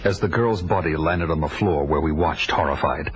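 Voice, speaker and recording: A man's voice: a bass voice, moderate pace, heavy background noise. An actor playing a grim barbarian warrior, solemnly narrating his adventure as dramatic audio drama. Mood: tense.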